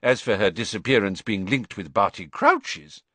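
A man's voice: on the low side, expressive, moderate pace, bright, forceful tone, dry recording. A man reading an audiobook and voicing a blustering, pompous middle-aged male official who gets flustered and insistent.

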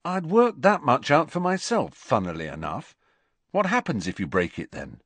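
A man's voice: medium pitch, big pitch swings, normal speed, a bit bright, no room sound, voice pushed up. A man voicing an exasperated, dry-witted teenage boy wizard in a storybook, insistent and sarcastic.

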